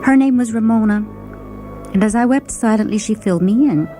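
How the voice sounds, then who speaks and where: A woman's voice: a little high, fairly quick. Theatrical woman storyteller over music. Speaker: story narrator. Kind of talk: dramatic first-person short story narration.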